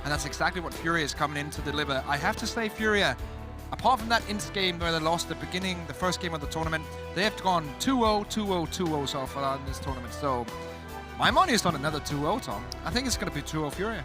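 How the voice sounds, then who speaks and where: Fast-talking Danish-accented man. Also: fairly high pitch, lively intonation, over music. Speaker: esports caster. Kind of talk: esports commentary.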